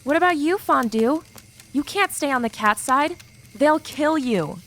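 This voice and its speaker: A woman's voice: high-pitched, lively intonation, unhurried, fairly bright tone, heavily acted. A woman playing a concerned, caring schoolgirl who pleads in an audiobook drama. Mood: worried. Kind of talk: audiobook acting.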